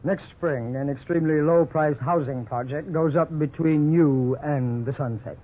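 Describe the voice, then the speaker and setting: An actor playing a thorough, matter-of-fact house inspector in an old radio drama, frank and deliberate. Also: male voice, on the high side, natural voice. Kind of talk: 1950s radio drama acting. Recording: tinny, noisy old radio broadcast.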